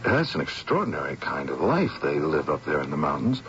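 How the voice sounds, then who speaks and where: A man's voice: a bass voice, very animated, fairly quick, a bit bright, heavily acted. An actor playing a haunted, weakened man, anxious and broken, with a hesitant, faltering delivery in a radio drama. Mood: faltering, defeated. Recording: noisy, muffled old radio recording.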